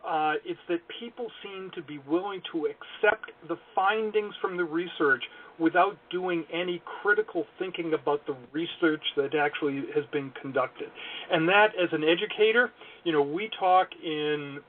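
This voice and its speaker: A man's voice: on the high side, normal speed. A male consulting guest speaking earnestly and analytically in an interview, with wry self-deprecating asides. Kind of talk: podcast interview talk. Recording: tinny phone line.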